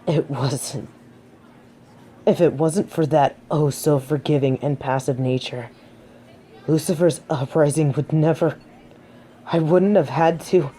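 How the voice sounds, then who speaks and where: A woman's voice: low voice, takes it slowly, pauses often, mellow, slight background noise. A woman playing a proud, haughty fallen angel of judgement in a scripted audio roleplay, dramatic and defensive. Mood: bitter, defensive.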